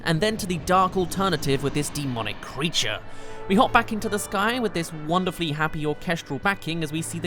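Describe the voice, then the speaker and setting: Lively video game reviewer over music. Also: mid-range voice. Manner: enthusiastic, chatty. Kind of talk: scripted countdown review narration. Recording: music behind the voice.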